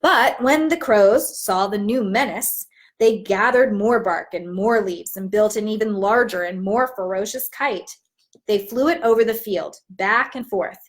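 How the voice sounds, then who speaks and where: A woman teaching in an instructive, expressive way, as if demonstrating how to read a storybook aloud. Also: mid-range voice, a little slow. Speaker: teacher trainer.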